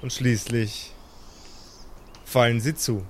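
A man's voice: medium pitch, fairly even intonation, slow pace, slight background noise. A man narrating an improvised audio drama as game master in a dramatic storytelling style.

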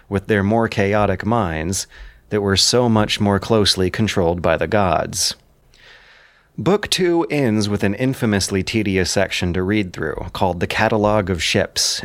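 A man's voice: lowish voice, no echo. A man giving scripted commentary in an articulate, bookish way with dry asides. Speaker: writer and narrator of an audio drama.

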